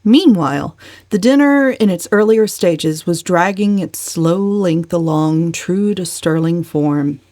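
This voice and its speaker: Woman reading an audiobook, lowish voice, measured but expressive, super dry close recording. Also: no echo. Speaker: audiobook narrator. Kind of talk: dramatic scripted narration.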